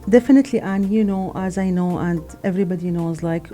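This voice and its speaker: Earnest mellow-voiced woman from Syria. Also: fairly low pitch, brisk, soft background music. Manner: earnest, reflective, many "you know" fillers. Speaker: guest. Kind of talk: casual radio chat.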